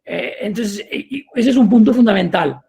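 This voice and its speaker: A man's voice: high voice, moderate pace, vocal fry, dark tone, husky. A middle-aged man from Italy, speaking with an Italian accent as a panel expert, careful and hesitant, with many restarts. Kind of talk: panel discussion.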